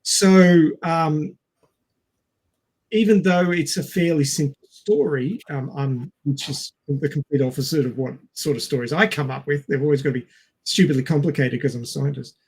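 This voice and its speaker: A man co-hosting a casual film discussion, speaking in a halting, hesitant way and stretching out words. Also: fairly high pitch, steady tone, darkish tone, slightly rough, poor audio. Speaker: podcast co-host.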